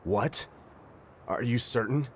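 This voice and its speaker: An actor playing a polite, grieving young man in a game cutscene, speaking gently. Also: medium pitch, slow pace, a little hiss or hum, close to an everyday voice. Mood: subdued. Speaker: game character.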